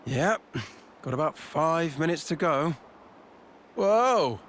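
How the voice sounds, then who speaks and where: A cheeky officer, lively pitch. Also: male voice, slow pace, slight background noise. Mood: wry. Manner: casual, bantering. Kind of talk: scripted video game voice acting.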